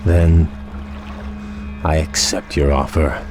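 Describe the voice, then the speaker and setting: Proud elf prince, deep voice, noble and formal, indignant edge, fantasy game drama, prominent music. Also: male voice, little pitch movement, takes it slowly, exaggerated voice. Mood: formal, respectful.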